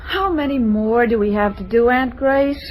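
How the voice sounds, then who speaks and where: A woman's voice: on the high side, moderate pace, soft background music, natural voice. A woman playing a sweet, flustered young engaged woman, emotional and proper, in an old-time radio drama. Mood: flustered, polite. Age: young adult. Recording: noisy vintage radio drama recording.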